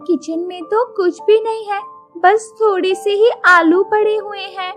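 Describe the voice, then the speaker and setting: Young village sister-in-law, woman performer, girlish and plaintive, very high pitch, music-backed story cartoon. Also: fairly even intonation, unhurried. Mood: worried. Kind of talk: animated moral-story acting. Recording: music behind the voice.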